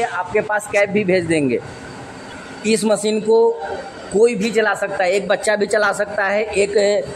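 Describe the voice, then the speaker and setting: A young man pitching machinery to camera from a small workshop, explaining earnestly and repeating his points. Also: background noise. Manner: earnest, repetitive explaining, gestures with hands. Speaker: machine seller presenting.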